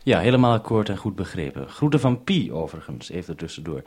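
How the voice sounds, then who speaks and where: A Dutch radio producer speaking on a radio link, calm and orderly, repeating notes back before signing off. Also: male voice, low-pitched, quick pace, noisy background. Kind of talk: radio call, businesslike. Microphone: radio transceiver.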